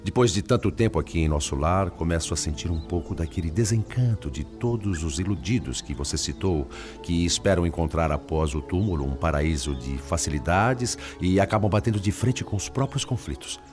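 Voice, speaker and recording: An actor with a Brazilian accent playing a weary, self-doubting spirit in a radio drama, earnest and melancholy in delivery. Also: male voice, deep voice, lively intonation, brisk, hardly any pauses, music in the background. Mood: troubled, frustrated.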